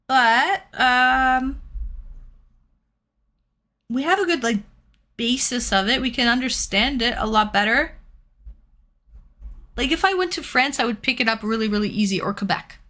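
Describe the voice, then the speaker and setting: Bright-voiced chatty Canadian woman streamer. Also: a little high, animated, a little slow, long pauses. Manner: chatty, reads out viewer comments. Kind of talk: casual chat while painting.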